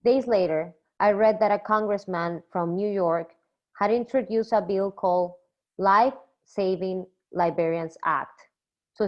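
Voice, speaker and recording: Young woman from Peru, reading a talk. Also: on the low side. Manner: measured, reads from a script. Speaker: PhD student presenting a poster. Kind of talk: read academic presentation. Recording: slightly dull lo-fi recording.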